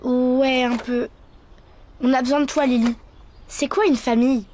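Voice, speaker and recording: Curious cartoon little girl, high childlike voice, naive and earnest, noisy soundtrack. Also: fairly even intonation, unhurried, darkish tone. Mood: puzzled.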